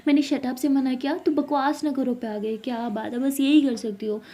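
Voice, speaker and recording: A woman's voice: sits high, fairly even intonation, moderate pace, mellow, lightly acted. A woman voicing a sulky, self-pitying teenage girl who complains in a whiny, melodramatic way.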